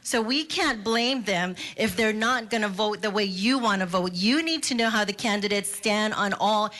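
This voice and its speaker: A woman's voice: medium pitch, normal speed, fairly bright tone. A woman giving public testimony at a hearing, earnest and imploring, with fillers.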